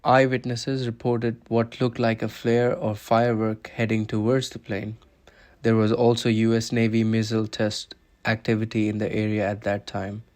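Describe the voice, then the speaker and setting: A man's voice: on the low side, darkish tone. A man narrating a scripted documentary, explaining events step by step.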